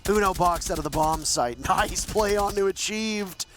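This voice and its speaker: A man casting live esports play-by-play, excitable, his voice jumping up at big plays. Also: sits high, unhurried. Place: broadcast desk at a live event.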